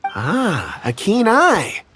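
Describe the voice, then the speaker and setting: A genial young rock expert in a game cutscene. He speaks with courteous, earnest enthusiasm and a lively, varied melody. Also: sits high, drawn-out words, a little hiss or hum, heavily acted. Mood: cheerful. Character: genial, absent-minded young man who loves rocks. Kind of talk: video game cutscene acting.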